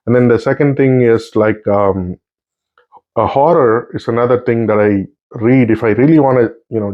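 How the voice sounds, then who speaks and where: Middle-aged man, native Tamil speaker, book vlogger; hesitant, self-correcting delivery, medium pitch, muffled home recording. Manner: halting, many restarts and fillers. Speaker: book reviewer. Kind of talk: casual unscripted chat. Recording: slightly dull lo-fi sound.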